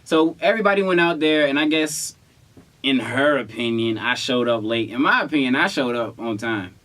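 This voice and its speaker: A man's voice: medium pitch, lively intonation, moderate pace, sharp tone. A young man telling a story in casual, slangy chat, with a playful, protesting manner. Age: young adult. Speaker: vlogger telling a couple story.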